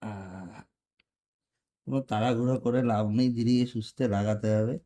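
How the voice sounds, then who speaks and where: A man's voice: on the low side, fairly even intonation, normal speed, warm tone. A man narrating a hands-on motorcycle vlog tutorial casually, talking viewers through each step in an informal way. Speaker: motorcycle vlogger.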